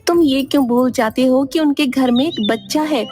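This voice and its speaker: A woman voicing a patient, sensible wife in a cartoon, calm and reasoning. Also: high-pitched, moderate pace, natural voice. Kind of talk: cartoon voice acting. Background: background music.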